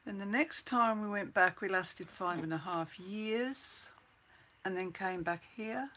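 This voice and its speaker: Older english lady, accent gone a bit kiwi, bright sharp voice, chatty and rambly, repeats herself. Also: medium pitch, unhurried. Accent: English with some New Zealand mixed in. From England (Birmingham). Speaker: livestream host. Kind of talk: casual chat to viewers.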